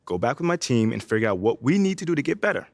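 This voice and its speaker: A man playing a confident young pro basketball player in a video game, relaxed and slangy. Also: medium pitch, fast talker, no room sound. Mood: casual, self-assured. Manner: relaxed, slangy, self-assured. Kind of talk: casual game cutscene and press interview dialogue.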